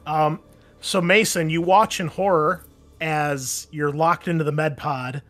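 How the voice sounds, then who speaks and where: A man's voice: a little high, steady tone, unhurried, fairly bright tone. A man running a tabletop roleplaying game, narrating scenes and explaining rules to players in a casual, informal way.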